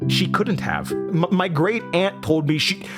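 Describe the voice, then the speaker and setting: An actor playing an anxious, rattled man who haltingly recounts eerie memories, stammering and interrupting himself, in a horror audio drama. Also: high voice, music in the background. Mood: agitated, alarmed. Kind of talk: first-person horror audio drama monologue.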